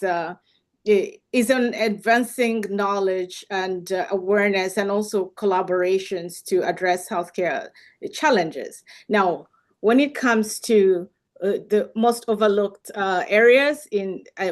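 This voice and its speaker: A woman from Uganda giving formal remarks over a video call, earnest, with frequent fillers and restarts. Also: mid-range voice. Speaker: health communication speaker.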